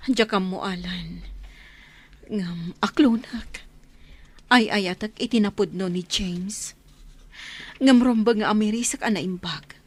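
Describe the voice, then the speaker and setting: A woman with an Ilocano Filipino accent playing a gentle, self-sacrificing lover in a radio drama. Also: medium pitch, slow, pauses often, husky. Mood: sorrowful.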